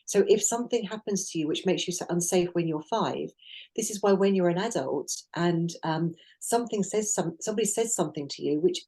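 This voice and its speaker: A middle-aged woman with a lowish, mellow voice talks through her experiences in a relaxed podcast chat. She is earnest and reflective and often stops to restart her sentences. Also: a little fast, poor audio. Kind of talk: casual conversational podcast.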